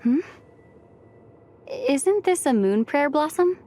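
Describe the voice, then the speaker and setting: Kind young heroine, high gentle voice, slow delivery, calm adventurer in a game cutscene. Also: female voice. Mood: curious, gentle. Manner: gentle, unhurried. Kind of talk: video game cutscene acting.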